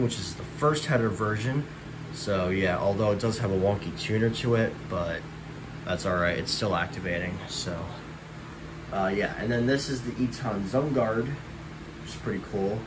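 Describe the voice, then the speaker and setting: A man giving a casual, meandering show-and-tell of a collection, filmed on a handheld camera in a small bedroom. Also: on the low side. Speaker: hobbyist collector showing a collection. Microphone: handheld camera, behind the lens. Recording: distant mic, loud room hum and noise.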